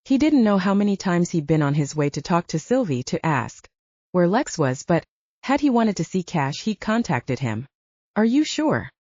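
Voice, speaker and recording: Female audiobook narrator, lowish voice with expressive swings, husky, close and slightly muffled. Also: normal speed, dry recording. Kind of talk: scripted fiction narration.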